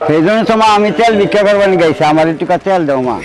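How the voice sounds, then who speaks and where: Comedy film part: actor as humble old beggar, Bangladeshi accent, slightly high voice, quick sorrowful wheedling. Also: male voice, close to an everyday voice, rough recording. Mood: pleading. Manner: wheedling, pleading. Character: pleading older beggar father, humble and sorrowful. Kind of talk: comic short-film acting.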